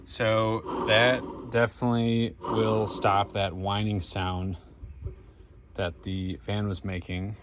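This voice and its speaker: Male DIY vlog host, lowish voice, informal and laid-back, narrating car work over vehicle noise. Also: little pitch movement, slow. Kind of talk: casual narration to camera while working. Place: in and around a vehicle. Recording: noisy car and engine ambience. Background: car and ambient noise.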